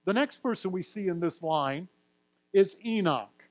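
Higher-voiced male preacher teaching scripture. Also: expressive, a little slow. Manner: reads genealogy aloud, then explains with light humor. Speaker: pastor. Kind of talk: sermon teaching through scripture.

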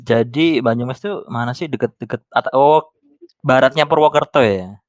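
A young man co-hosts a casual podcast in a joking, teasing way and laughs often. His voice is at an ordinary pitch. The sound is dry and close. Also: normal speed, no room sound. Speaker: podcast co-host.

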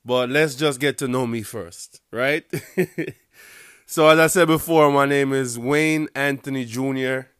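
A man's voice: mid-range voice, fairly even intonation, unhurried, fairly bright tone, smooth, dry recording, studio quality. A young man from Jamaica with a Jamaican accent, hosting a casual solo podcast with rambling, profane talk full of "um"s. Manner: rambling, profane, laughs often, many "um"s. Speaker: podcast host.

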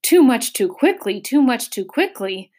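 Storybook bug, male, pompous glutton, wheezy and high female reader performing. Flowery, overstuffed, self-important. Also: sing-song, moderate pace. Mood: queasy, wheezing. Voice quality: wheezy, gasping. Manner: pompous, wordy.

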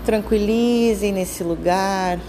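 A woman with a Brazilian accent leading a guided meditation in a calm, soothing, instructing manner with drawn-out phrases. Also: mid-range voice, background noise.

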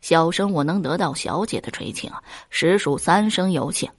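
Woman playing a smug young gentleman scholar. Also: lowish voice, normal speed, husky, no echo, studio quality. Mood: smug, pleased. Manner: self-satisfied, formal, flowery.

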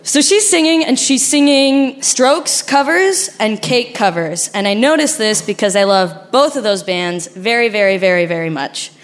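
Young argentinian woman telling a story onstage, bit high voice, animated and cursing. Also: normal speed, few pauses. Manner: animated, laughing, frank and profane. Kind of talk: live storytelling. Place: stage before a live audience.

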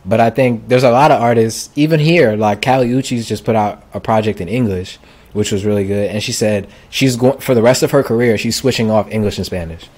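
Laid-back male podcast host with a lowish voice, easygoing conversational talk. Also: a little fast, darkish tone. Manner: laid-back, lots of "like" and "I feel like". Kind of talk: casual music podcast chat.

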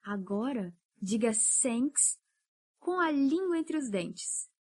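Woman teacher, encouraging, slow drills, lively pitch. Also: slight background noise. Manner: patient, encouraging, drills words slowly. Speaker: English teacher. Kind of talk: podcast pronunciation lesson.

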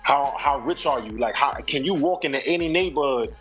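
A man from Brooklyn with a New York accent, in his thirties, speaking at an ordinary middle pitch. He talks in a relaxed, reflective way, heavy with slang and little fillers. Faint music plays in the background. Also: brisk, low-quality recording. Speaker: rapper and singer, guest. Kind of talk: casual interview chat.

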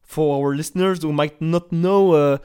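A man whose first language is French, hosting a podcast interview and asking hesitant, halting questions. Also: fairly high pitch, steady tone, warm tone, smooth. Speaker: podcast host. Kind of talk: casual interview talk.

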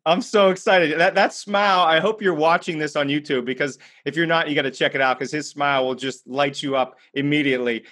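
A man's voice: a little high, fairly even intonation, a little fast, hardly any pauses, fairly bright tone. A man hosting a podcast, introducing his guest in an upbeat, effusive way. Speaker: podcast host.

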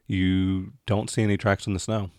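Low-voiced man running a tabletop game. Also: little pitch movement, a little mellow, dry recording. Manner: halting, searching for words. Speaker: game master. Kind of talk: improvised tabletop game narration.

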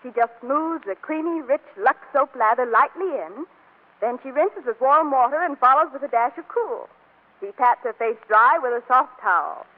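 Very high-pitched chatty woman, old radio. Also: lively intonation, normal speed. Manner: gushing, chatty. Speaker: Hollywood reporter. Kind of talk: scripted chatty 1940s radio advertising. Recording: tinny 1940s radio recording.

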